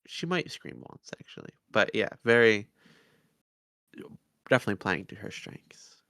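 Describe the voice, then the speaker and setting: A man chats casually as a podcast co-host. His voice sits fairly low and crackles with fry. The recording is dry, with no sense of a room. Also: very animated, normal speed, fairly bright tone, no echo. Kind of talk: casual chat.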